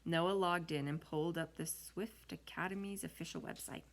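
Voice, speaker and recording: A woman reading a children's story aloud like a teacher, with expressive delivery. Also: fairly low pitch, normal speed. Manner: expressive reading with acted-out dialogue.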